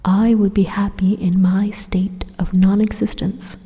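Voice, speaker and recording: Rogue AI for ASMR roleplay, female performer: hushed and breathy, very unhurried, calmly menacing and condescending, drenched in long reverb. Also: medium pitch. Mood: calm persuasion. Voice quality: breathy, whispery. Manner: deliberate, pausing, condescending. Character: calm, menacing supercomputer robot turned evil, coldly persuasive.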